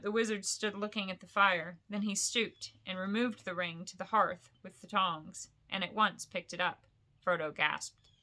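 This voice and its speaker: A woman narrates a bedtime fantasy story from a book. Her voice sits at a middle pitch with a bright, forward tone. She phrases the prose deliberately and dramatically. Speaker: storyteller reading a novel aloud. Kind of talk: expressive bedtime story reading.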